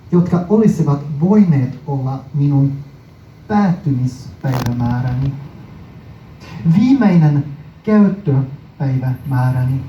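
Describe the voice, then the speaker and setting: Man, poetry recital, very dark tone, deliberate phrasing with pauses, roomy distant recording. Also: mid-range voice. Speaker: poet reading own poem. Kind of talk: poetry recital, performance reading. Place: live performance space, indoors. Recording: muffled, noisy live recording from far off.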